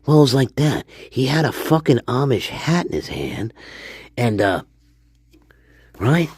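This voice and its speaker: A man voicing a crude, foul-mouthed storyteller named Stanley, rambling and swearing, often dropping into a hoarse whisper. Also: mid-range voice, big pitch swings, a little slow, a little mellow, no room sound, studio quality. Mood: conspiratorial. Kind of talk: improvised character monologue.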